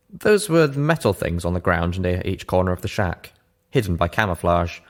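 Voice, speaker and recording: Man, deep voice, story narrator, scripted reading, first person. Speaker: narrator, audiobook reader.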